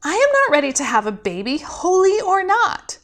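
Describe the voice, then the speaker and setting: Young storybook heroine voiced by a woman reader, unafraid, frank, firm, high and very animated. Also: normal speed, full character voice. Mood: shocked, firm.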